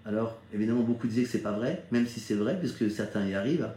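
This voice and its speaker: A man's voice: fairly low pitch, fast talker, mellow. A middle-aged man from eastern France giving an informal motivational talk as a business leader, conversational and often checking that listeners follow. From Strasbourg, Alsace, France. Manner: conversational, seeks listeners' agreement with frequent check-ins. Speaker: network marketing leader. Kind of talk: informal motivational talk recounting own career. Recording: echoey, roomy sound.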